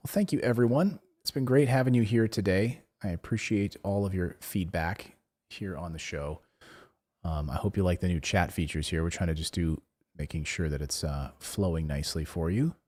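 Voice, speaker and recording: A man hosting a live investing show, chatting casually, rambling with lots of fillers and restarts, his voice going hoarse. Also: low voice, moderate pace, darkish tone. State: losing his voice. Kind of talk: casual live-stream chat, reading viewer comments.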